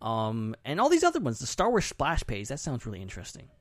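A man's voice: medium pitch, wildly swooping pitch, fairly quick, a bit bright, dry recording. A man chatting casually and animatedly as a podcast host.

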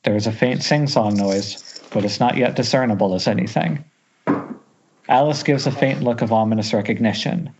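A man's voice: mid-range voice, moderate pace, gravelly, background noise. A man reading scripted stage directions as narrator at an amateur table read. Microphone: Skype call. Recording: dull lo-fi internet call.

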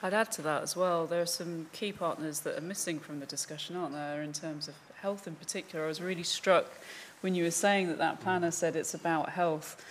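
A woman's voice: on the low side, fairly even intonation, slight background noise. Earnest woman council panelist. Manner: earnest, conversational, measured. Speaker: panelist working in a local council. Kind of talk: conference panel discussion.

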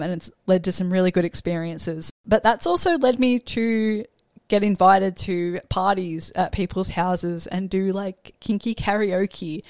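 A woman's voice: mid-range voice, a little mellow, no echo, studio quality. A woman in her late twenties chatting casually as a podcast panelist. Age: 20s. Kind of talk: casual chat.

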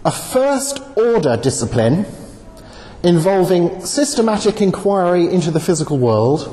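Male lecturer, slightly croaky, mellow, animated delivery; reverberant hall. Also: high-pitched, unhurried. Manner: animated, wry lecturing with asides. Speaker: guest speaker, philosopher. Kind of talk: academic lecture. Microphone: handheld microphone. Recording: long hall reverb, faint noise.

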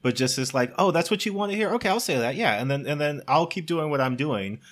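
A man casually breaking down a film in comedic podcast conversation, animated and often acting out quotes. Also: fairly high pitch, quick pace.

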